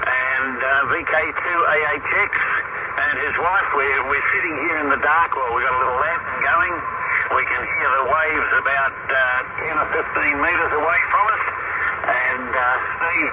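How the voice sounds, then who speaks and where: Noisy shortwave radio, Australian man, fairly high voice, relaxed, matter-of-fact, casual operator chat. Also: moderate pace.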